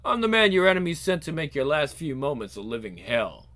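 A man's voice: on the high side, very animated, brisk, a bit bright, voice pushed down. A man voicing an urbane, sadistic assassin who taunts in a controlled, theatrical way. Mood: cold menace. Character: sadistic, urbane male assassin, mocking, coldly amused villain.

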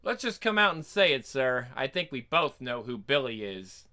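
A man's voice: medium pitch, very animated, normal speed, bright, forceful tone. A man voicing a level-headed, polite detective sidekick, formal and deferential, in a comic book reading. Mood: cautious.

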